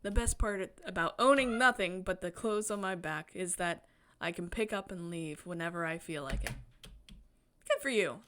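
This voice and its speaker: A woman game streamer expressively reading a visual novel aloud, breaking into excited, chatty asides. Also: fairly low pitch, moderate pace. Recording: music behind the voice. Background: game music.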